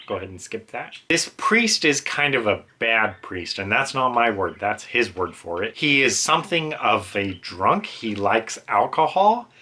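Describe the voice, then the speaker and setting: Man, bright crisp-edged tone, casual video book reviewer, informal, rambling, self-aware delivery. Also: fairly low pitch.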